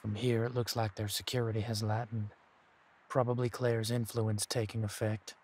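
A man playing a steady, protective werewolf pack leader in an audio roleplay drama, calm and deliberate. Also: fairly low pitch, natural voice. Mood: calm, commanding.

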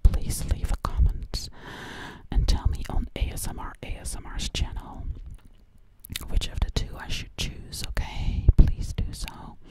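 Woman acting a sinister witch, breathy whisper, close to the mic, obsessive, hypnotic repetition, ASMR roleplay. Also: close to an everyday voice, rough recording. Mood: gloating, torn. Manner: slow, repeating words, hypnotic. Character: sinister, obsessive female witch hunting for victims. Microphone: close microphone.

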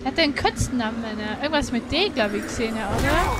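A woman's voice: a little high, expressive, fast. A woman chatting casually as co-commentator during a video game stream. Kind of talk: casual chat during gameplay. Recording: noisy stream with game sound behind.

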